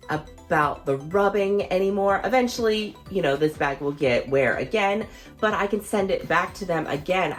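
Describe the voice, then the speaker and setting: A woman in her thirties, a fashion vlogger, chatting in a casual, enthusiastic way, her voice a little hoarse as she gets over losing it. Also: on the low side. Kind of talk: casual product review vlog.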